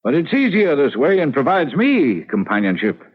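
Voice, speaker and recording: In a muffled old radio drama, a genial country clergyman. The voice is husky and very animated, courteous and a little puzzled. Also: fairly high pitch, normal speed.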